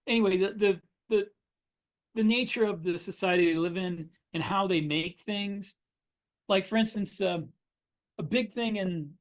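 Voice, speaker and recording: Man, podcast co-host, casual shop talk, wry explanations, conversational delivery. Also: high voice. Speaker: podcast co-host and science fiction writer.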